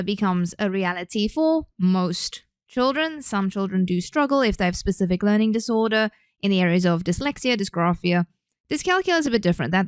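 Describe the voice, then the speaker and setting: A young woman talks casually and eagerly, sprinkling in fillers as she moves from chat to explaining her work. Her voice sits on the higher side. The recording is close and dry, with no room sound. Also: no echo. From Australia. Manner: chatty, enthusiastic, many fillers. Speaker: speech pathologist and podcast host.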